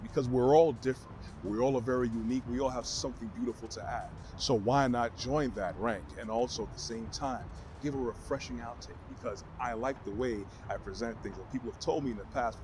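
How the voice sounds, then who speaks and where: Deep-voiced Brooklyn man, earnest. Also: background noise. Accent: New York. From Brooklyn, New York. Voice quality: deep baritone voice. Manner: earnest, motivational, measured phrasing. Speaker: vlogger. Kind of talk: answering questions to camera.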